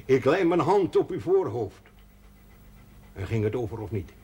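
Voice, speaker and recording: An actor playing a stubborn, proud country folk healer, devout and earthy, blunt and stammering when pressed, in a television drama. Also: male voice, medium pitch, big pitch swings, moderate pace, darkish tone, breathy edge, lo-fi sound. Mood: self-assured. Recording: noisy old television soundtrack.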